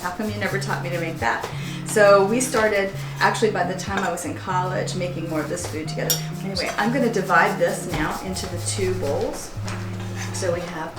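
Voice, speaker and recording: A middle-aged American woman talking informally and explaining things as a home cook presenting a cooking demonstration. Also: mid-range voice. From United States, partly Hawaii. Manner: conversational, explanatory. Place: indoors, roomy kitchen. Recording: echoey room with music behind.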